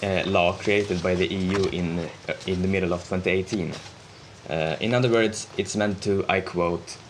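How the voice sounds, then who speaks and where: Low-voiced young man from Sweden. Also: slight background noise. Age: teens or young adult. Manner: explanatory, quotes definitions. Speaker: student guest. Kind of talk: talk show answer, partly read.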